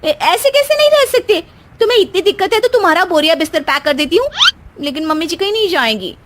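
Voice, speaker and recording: Lady doing a whiny young cartoon daughter-in-law, super high and animated, dramatic and grumbly. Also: fast talker, noisy background. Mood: defiant. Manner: whiny, exclamatory complaining. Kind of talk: Hindi cartoon moral story acting.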